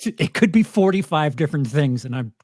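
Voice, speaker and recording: A man's voice: a little high, lively intonation, brisk, darkish tone, no echo. A man chatting casually on a livestream panel in a jokey, self-deprecating manner. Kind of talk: casual chat.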